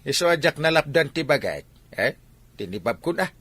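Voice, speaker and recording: A man with an Ilocano accent playing an excitable, indignant villager in a barangay comedy drama. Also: on the high side, moderate pace, no room sound.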